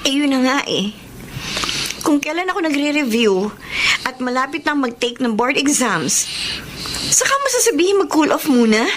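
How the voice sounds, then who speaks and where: A woman's voice: high voice, very animated, moderate pace, pauses often. A woman playing a touchy, sulky young girlfriend in a radio romance drama, with a Filipino accent and an emotional, reproachful delivery. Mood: hurt, pleading. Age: young adult. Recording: noisy radio drama broadcast.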